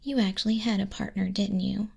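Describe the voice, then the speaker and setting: A woman playing a sardonic, bored demon clerk in a whispered ASMR roleplay, soft-spoken and condescending, close to a binaural microphone. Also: mid-range voice, flat delivery, brisk, vocal fry, breathy edge. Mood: scolding, dry. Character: sardonic, bored female demon clerk registering sinners in Hell.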